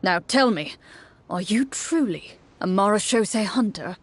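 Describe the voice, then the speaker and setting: A woman narrating a fantasy game as game master, in a measured, dramatic storytelling manner. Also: medium pitch, animated. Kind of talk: scripted second-person fantasy game narration. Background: music.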